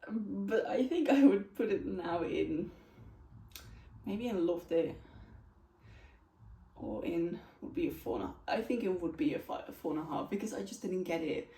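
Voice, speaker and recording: A woman's voice: on the low side, lively intonation, normal speed, pauses often, dark tone. A woman who speaks English as a second language, chatting casually and candidly to camera as she reviews books. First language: not English. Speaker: book reviewer and vlogger. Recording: roomy echo, distant from mic.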